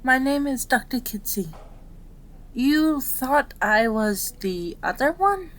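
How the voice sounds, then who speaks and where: Woman acting a prim, slow-talking fox-spirit doctor. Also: high voice. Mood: startled, soothing. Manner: prim, chiding, easily flustered. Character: cute, flustered, caring female fox-spirit doctor. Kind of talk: ASMR roleplay acting.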